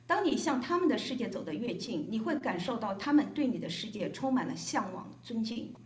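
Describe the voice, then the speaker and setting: A woman's voice: fairly high pitch, very animated, fairly quick. A woman from Shanghai, a volunteer reading a prepared, reflective speech at a gathering. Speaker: volunteer speaker. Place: hotel event room. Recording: echoey event room.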